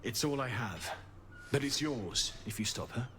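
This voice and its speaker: A solemn paladin played by a male actor pleads for vengeance in formal fantasy-game speech. His voice sits at a middling pitch, urgent and pious, over faint ambient noise. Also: animated, moderate pace, lightly acted. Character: grave, wounded self-proclaimed paladin, pious and vengeful. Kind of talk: dramatic fantasy game dialogue.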